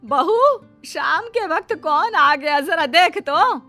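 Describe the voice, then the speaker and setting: A woman's voice: extremely high pitch, lively intonation, slow, bright, forceful tone, faint music behind, voice pushed up, full character voice. A woman voicing a chatty, combative middle-aged mother-in-law with an exclamatory, homely way of talking in a cartoon moral story. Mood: calling out. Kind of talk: Hindi animated moral story voice acting.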